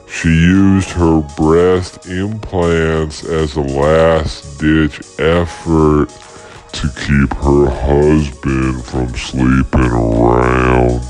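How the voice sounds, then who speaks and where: A man acts out an eccentric radio doctor with an extremely low voice. He speaks at a crawl, theatrically savoring every odd phrase as if in love with himself. Music plays under this absurdist radio play. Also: steady tone, extremely slow, a little mellow, exaggerated voice. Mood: solemn, knowing. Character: self-regarding, eccentric male doctor hosting a radio call-in.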